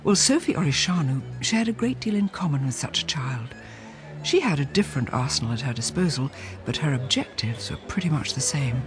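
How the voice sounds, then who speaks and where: A woman's voice: rumbling low, lively intonation, a little fast. An actress playing a wry, jealous young English wife who narrates her own story with sardonic relish. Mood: sardonic, scornful. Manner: sardonic, relishing descriptive words. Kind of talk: first-person dramatised narration. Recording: clear music under narration.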